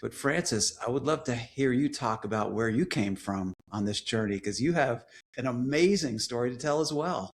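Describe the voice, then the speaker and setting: Guy hosting a chat, encouraging, invites the next person in, mid-pitched voice. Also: animated, normal speed, slight background noise. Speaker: conversation moderator. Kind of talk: casual conversation.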